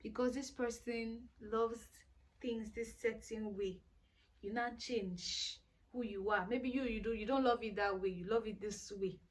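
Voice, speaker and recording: A woman's voice: on the high side, fairly even intonation, unhurried, poor audio. Earnest young woman vlogger giving advice. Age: 20s. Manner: earnest, preachy, repeats herself for emphasis. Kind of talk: casual advice monologue.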